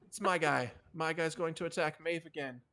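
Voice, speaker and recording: A man's voice: fairly high pitch, normal speed, bright, forceful tone. A man narrating a tabletop game as game master in a casual, loose, improvised way. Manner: casual, swearing.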